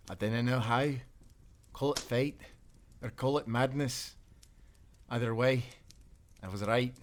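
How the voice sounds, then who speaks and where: A man playing a wounded, loyal sailor castaway with a Scottish accent in a pirate audio roleplay, earnest and halting. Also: medium pitch, lightly acted. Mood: tender, earnest.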